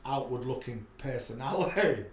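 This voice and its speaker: A man hosting a live stream, talking in a preachy, rambling monologue with frequent restarts and sudden loud bursts. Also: mid-range voice, animated, moderate pace, roomy sound, a little hiss or hum. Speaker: livestream host.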